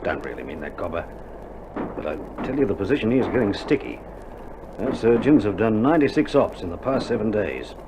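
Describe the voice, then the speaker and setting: An actor playing a weary, dry-humoured Australian army doctor in a wartime radio drama, speaking in a colloquial, matter-of-fact way. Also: male voice, fairly low pitch, big pitch swings, fairly quick. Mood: grave, matter-of-fact. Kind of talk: recorded radio drama acting. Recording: dull vintage vinyl recording, loud noise.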